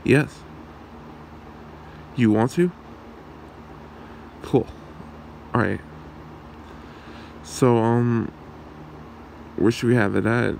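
A man's voice: medium pitch, gravelly, slight background noise, rough recording. A man playing a shy, awkward young man smitten with his friend, speaking hesitantly in an ASMR roleplay. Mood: shy, friendly. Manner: hesitant, halting, soft-spoken.

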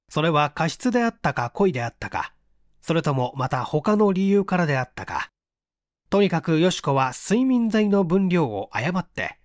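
A man narrating a literary mystery tale in a measured, dramatic storytelling manner with deliberate pauses. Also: a little high, no room sound, clean studio sound. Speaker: audiobook reader, stage actor.

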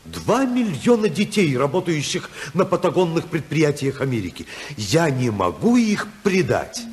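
A man's voice: a little high, very animated, normal speed. An actor playing an idealistic congressman torn by love, earnest and oratorical in a 1950s radio drama style. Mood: impassioned, resolute. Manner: earnest, oratorical, swinging from hushed to impassioned.

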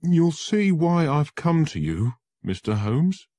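A man's voice: deep voice, very animated, slow pace, a little mellow, dry recording, full character voice. A man voicing a frightened young male lawyer who pleads with desperate urgency. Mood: panicked.

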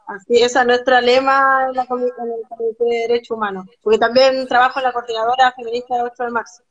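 A young woman from Chile speaks with a Chilean accent, earnest and fast, circling back to restart her sentences. Her voice sits a little high. The sound is dull and lo-fi, as from a phone camera on a video call. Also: level tone. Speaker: guest, documentary photographer. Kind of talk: casual live-stream interview. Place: small room at home, indoors.

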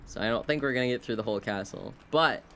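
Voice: medium pitch, quick pace, fairly bright tone, a little hiss or hum. A video game streamer giving casual live commentary, rambling and wryly talking to themself and the game. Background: video game sounds.

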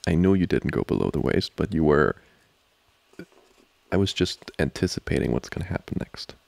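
A man playing an amorous, giggly student boyfriend in an intimate ASMR roleplay, talking haltingly and teasingly close to the mic. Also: very deep voice, lively intonation, gravelly, no echo, exaggerated voice. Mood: flustered, amused. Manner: halting, soft-spoken, chuckling, teasing. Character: amorous, giggly young male student boyfriend trying to study. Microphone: close microphone, dry.

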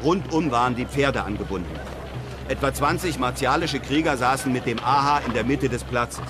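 An actor playing a European traveller who narrates his desert adventures in a measured, literary way. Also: mid-range voice, over music, close to an everyday voice. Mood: measured storytelling. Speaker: narrator of an audio drama. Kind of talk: scripted first-person adventure narration.

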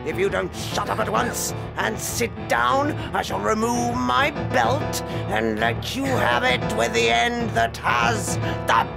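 An actress playing a tyrannical, child-hating headmistress with a gravelly British voice, imperious and contemptuous, in a dramatized children's audiobook. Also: female voice, on the high side, expressive, slow, few pauses, loud music behind the voice, exaggerated voice. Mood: furious.

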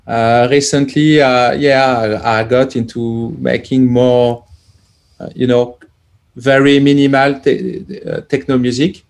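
A man, a plug-in developer guest, answering casually with many pauses as he searches for words over a video call. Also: mid-range voice, little pitch movement, gravelly, darkish tone. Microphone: headset on video call.

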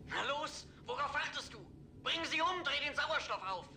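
Edgy, high-voiced spaceman. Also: animated, moderate pace, noisy background. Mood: agitated. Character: edgy, fatalistic young male astronaut, sardonic under threat. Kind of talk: 1960s German TV science fiction acting. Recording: 1960s TV soundtrack with loud music.

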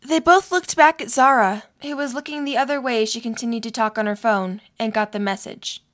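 A woman's voice: fairly high pitch, fairly quick, fairly bright tone. A woman reading scripted narration for a story.

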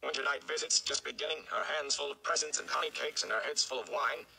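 A man voicing a stout old village mayor, flustered and blustering, with an emphatic, excitable delivery in a storybook reading. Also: medium pitch, quick pace, gravelly, slight background noise, voice pushed up, exaggerated voice. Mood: excited.

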